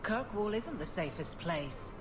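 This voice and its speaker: An actress playing a stern, no-nonsense captain of the guard in a video game, speaking in curt, clipped sentences. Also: female voice, mid-range voice, expressive, a little slow, music in the background, natural voice. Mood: brisk, dismissive. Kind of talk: video game dialogue acting.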